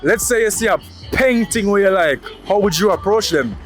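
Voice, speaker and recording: Male presenter, high-pitched, scripted segment links, light music bed. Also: expressive, normal speed. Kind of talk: scripted segment introduction to viewers.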